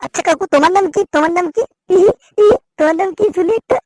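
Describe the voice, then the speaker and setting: A woman's voice: very high-pitched, quick pace, a little mellow, no room sound. A woman voicing a cheeky, foul-mouthed young son in a comic cartoon, whiny and insolent, with a West Bengal accent.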